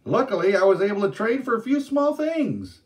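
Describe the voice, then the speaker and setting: A man's voice: sits high, moderate pace, pitched above the performer's own voice. A man playing a boastful teasing storyteller. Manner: animated, teasing. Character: boastful older male storyteller, dramatic and teasing.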